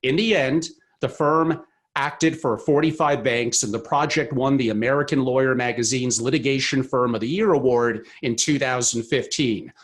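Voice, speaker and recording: A man hosting a podcast, with a voice of ordinary middle pitch. He speaks in a measured, formal way as he introduces a guest and asks questions. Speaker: podcast host. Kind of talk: scripted intro then interview questions.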